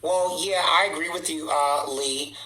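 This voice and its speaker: Sassy, bright-voiced livestreamer in an echoey room. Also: medium pitch, fairly even intonation, measured pace. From born in Trinidad, raised in Boston. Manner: blunt, sassy, outspoken. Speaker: livestream host. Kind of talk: livestream rant answering viewers.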